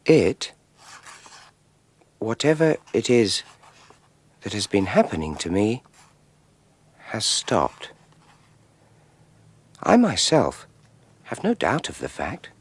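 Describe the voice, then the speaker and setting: An actor playing a solemn, disdainful scientist regressed to boyhood in a radio drama, musing aloud in a halting, brooding manner. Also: male voice, lowish voice, huge pitch swings, husky, full character voice. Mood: grave, defiant.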